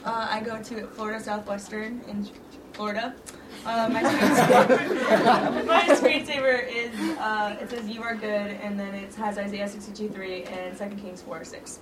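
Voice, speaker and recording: A woman's voice: medium pitch, fairly even intonation, normal speed. A young woman, a college student, introducing herself in a giggly, slightly shy way. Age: young adult. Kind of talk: casual self-introduction. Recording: crowd chatter and noise, mic far off.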